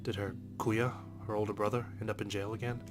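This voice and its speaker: Male let's play reader for story-game narration: deepish voice, rapid delivery, music underneath. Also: little pitch movement. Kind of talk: narration read aloud from a visual novel.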